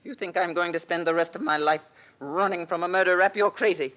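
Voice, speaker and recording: A woman's voice: lowish voice, fairly even intonation, fairly quick, a bit bright, close to an everyday voice. An actress playing a hard-boiled, scheming middle-aged female crook in old-time radio style, blunt and commanding. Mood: defiant.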